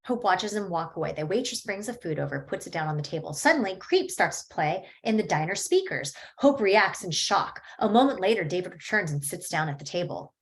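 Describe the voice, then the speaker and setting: A woman reading stage directions at a table read in a plain, even way. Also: on the low side, brisk, hardly any pauses.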